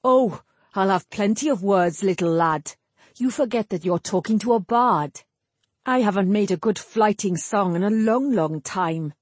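A woman's voice: medium pitch, unhurried, no room sound, lightly acted. A woman reading the part of a dry-humored, weary male bard in his middle years. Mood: wry.

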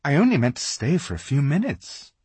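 A kind, earnest little girl pleads and comforts in a high, lively voice, as a storyteller puts her on. Her words come out in a soft husky tone, slow and careful, rising sharply when she begs. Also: no echo. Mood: pleading. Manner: pleading, then scolding.

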